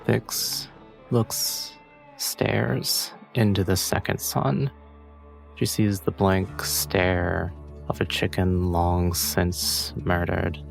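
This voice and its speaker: A man giving halting, dramatic horror narration as a player in a tabletop game. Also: low voice, gravelly.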